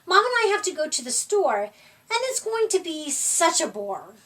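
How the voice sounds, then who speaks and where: A woman voicing an eager young male cat from a picture book, with an excited storybook delivery. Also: extremely high pitch, moderate pace, exaggerated voice. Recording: voice from far off the mic.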